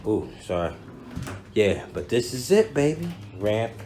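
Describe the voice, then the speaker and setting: A man's voice: on the low side, big pitch swings, a little slow, dark tone, slight background noise. An American man talking casually to viewers as a vlogger, slangy and informal, showing them around as he goes. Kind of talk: casual unboxing and walkthrough talk to camera.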